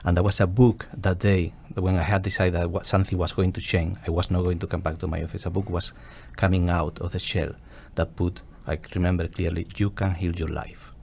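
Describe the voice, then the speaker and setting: A man's voice: low-pitched, little pitch movement, fairly quick, creaky, hardly any pauses, darkish tone, slight background noise. A man from Spain with a Spanish accent, a guest on a radio interview, telling his story earnestly and searching for English words.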